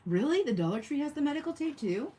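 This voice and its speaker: A woman's voice: sits high, very animated, fast talker, dark tone, a little hiss or hum. A woman hosting a casual craft livestream, chatting and thinking aloud in a rambling way, with a slightly nasal voice. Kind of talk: casual live chat while crafting.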